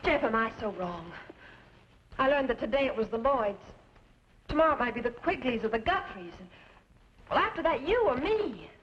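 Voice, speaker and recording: A woman's voice: fairly high pitch, big pitch swings, normal speed, long pauses. An actress playing an earnest, outspoken frontier newspaperman's daughter in an old western drama. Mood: indignant, pleading. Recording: dull old soundtrack, faint hiss.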